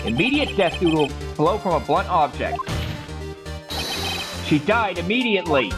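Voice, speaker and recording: A confident young defence lawyer from a video game, played by a man, pressing his questions in an animated, insistent voice. Music plays loudly behind him. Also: a little high, moderate pace. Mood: firm, challenging.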